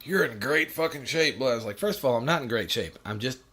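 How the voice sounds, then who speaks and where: A man's voice: medium pitch, expressive, fast, a bit bright, husky. A man in his forties hosting a podcast, chatting casually with a profane, self-deprecating humor. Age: 40s. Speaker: podcast host. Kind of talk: casual chat.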